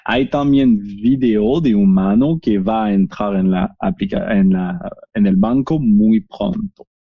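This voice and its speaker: A French Canadian man speaking Spanish as a second language, with a Québécois French accent, presenting a software webinar in a halting way with many fillers. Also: on the low side, breathy edge, no room sound, narrow, tinny audio. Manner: halting, frequent "eh" fillers and restarts. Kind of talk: product demo webinar.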